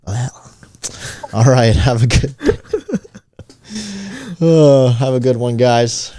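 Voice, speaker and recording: A man's voice: mid-range voice, big pitch swings, very slow, dark tone, soft background music. A man co-hosting a casual podcast, laid-back and slangy, tossing teasing questions at his friend. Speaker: podcast co-host.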